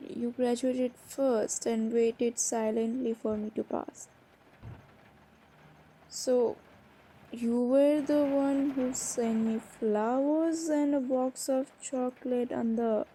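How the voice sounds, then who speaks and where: Woman acting out a shy flustered girl in a romance roleplay audio, really slow n stammery, kinda high voice, some bg noise. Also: fairly even intonation, mellow, poor audio. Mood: tender, wondering. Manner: hesitant, stammering, breaking off and repeating words. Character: flustered, shy young woman confessing to her stepbrother.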